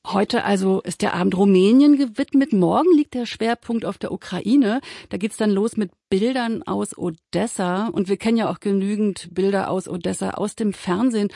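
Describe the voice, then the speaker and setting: A radio host leads an interview with calm, measured questions. The voice has a gravelly, creaky texture and sits at a middle pitch. The sound is dry and close. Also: no echo. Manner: composed questioning. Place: radio studio.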